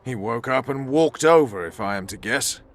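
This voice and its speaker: A man's voice: medium pitch, expressive, normal speed, lightly acted. A man acting a hard, blunt soldier and spymaster in a fantasy video game, clipped and intense. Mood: guarded, grim.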